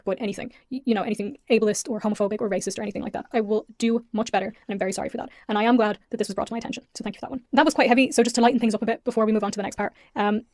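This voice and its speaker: A woman's voice: medium pitch, a little mellow, no echo. An Irish-accented young woman talks in an earnest, apologetic way. She rattles off her words at great speed. Her voice sounds dry and close, with no room around it. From Ireland. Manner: earnest, apologetic, rapid talk. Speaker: YouTuber being reacted to. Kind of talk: apology video.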